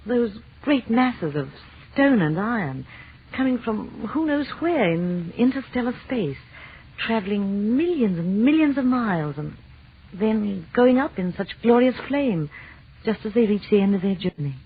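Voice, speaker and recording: An affectionate wife from a 1940s radio play, theatrical and playful. Her voice sits at a middling pitch and swings expressively. The recording is narrow and boxy, like a vintage broadcast. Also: big pitch swings, unhurried, slightly rough, exaggerated voice. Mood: awed, excited. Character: affectionate, playful wife of an astronomy professor, easily awed. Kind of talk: 1940s radio drama acting. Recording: muffled, noisy old radio recording.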